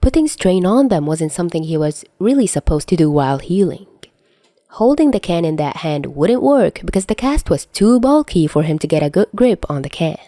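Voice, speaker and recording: A woman's voice: lowish voice, very animated, moderate pace, dark tone, no room sound, studio quality. A woman reading scripted fiction as a narrator. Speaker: podfic narrator.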